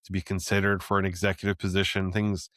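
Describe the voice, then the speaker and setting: A man with a very deep voice hosts a relaxed interview. He muses aloud in a loose, easygoing way and often checks in with "right?". The sound is close and dry. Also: quick pace, no echo. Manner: easygoing, with many fillers and restarts, ending lines with "right?". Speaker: podcast host. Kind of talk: casual interview conversation.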